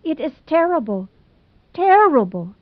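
A woman's voice: sits high, sing-song, unhurried, no echo, dull audio, voice pushed up. A woman voicing a melodramatic, self-pitying young woman who speaks in a gushing, tearful, theatrical manner.

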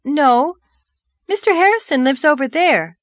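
A woman voicing a sweet, excitable young schoolteacher in an audiobook story, earnest and given to breathless exclamations. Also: fairly high pitch, measured pace, no room sound, pitched above the performer's own voice. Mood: flustered, astonished. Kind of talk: audiobook character voice.

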